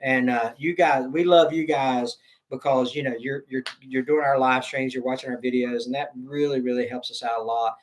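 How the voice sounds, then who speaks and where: A man's voice: mid-range voice, steady tone, normal speed, slight background noise. A middle-aged man with a Southern American accent hosting a casual livestream, rambling, stop-start and joking as he reads viewer comments. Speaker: livestream host.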